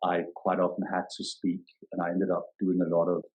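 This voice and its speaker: A man, a yoga teacher talking thoughtfully in a podcast interview, often pausing and restarting as he searches for words. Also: low-pitched, monotone, dull audio. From Denmark. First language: Danish.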